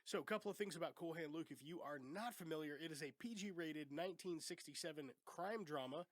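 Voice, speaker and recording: A man's voice: a little high, brisk, no echo. A man hosting a casual movie podcast in a home studio, congested and sick with a cough, chatty and laid-back with casual swearing. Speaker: podcast host. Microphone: studio microphone.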